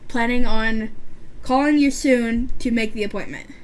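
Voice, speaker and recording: 20 yr old girl vlogging her makeup, lisp n a little stutter, kinda high voice, lots of restarts. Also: normal speed, rough recording. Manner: rambling, chatty, frequent restarts and fillers. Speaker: beauty vlogger. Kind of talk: casual chat while doing makeup.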